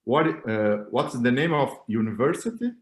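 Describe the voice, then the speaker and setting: A man's voice: medium pitch, animated, normal speed, echoey room. A man hosting a casual interview over a video call, asking short questions with fillers. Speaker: interview host.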